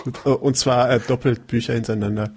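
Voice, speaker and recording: A man's voice: medium pitch, level tone, fast talker, a little mellow, breathy edge. A man co-hosting a casual podcast chat, hesitant with lots of filler sounds and chuckles. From southwest Germany. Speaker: podcast co-host.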